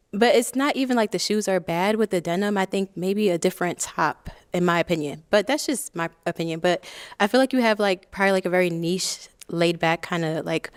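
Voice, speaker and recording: A woman's voice: lowish voice, smooth, dry recording, clean studio sound. A woman in her thirties on a dating show, talking casually in a rambling way with lots of fillers and easy laughter. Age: 30s. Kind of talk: casual chat.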